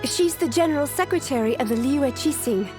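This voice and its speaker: A woman's voice: sits high, animated, fairly quick, over music. A woman voicing an earnest, anxious young secretary in a video game, with a polite manner. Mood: worried.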